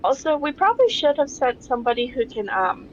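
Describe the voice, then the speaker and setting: A woman with a fairly high voice chats casually during a tabletop game. She is heard through dull, noisy audio. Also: very animated, a little fast. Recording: dull, noisy home recording.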